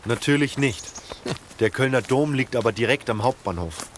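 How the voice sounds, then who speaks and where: A man playing a calm, strategic leader of survivors who explains things in a measured way in an audio drama. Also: mid-range voice, slight background noise, close to an everyday voice. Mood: earnest, calm.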